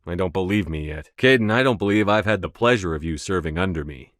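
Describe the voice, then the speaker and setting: Low-voiced, resolute military commander. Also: male voice, a bit bright, no echo, clean studio sound. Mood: earnest, intimate. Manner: measured, firm, clipped military delivery. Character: resolute male military commander in a sci-fi game, calm authority. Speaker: voice actor in a video game. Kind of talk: scripted video game dialogue.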